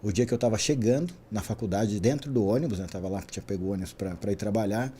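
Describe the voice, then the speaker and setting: A Brazilian man in his forties, a guest in a podcast interview, telling his career story in an animated way, often tagging his sentences with a quick question. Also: fairly low pitch, slight background noise. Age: 40s. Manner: animated, frequent "né?" tags. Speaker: guest, traffic safety specialist.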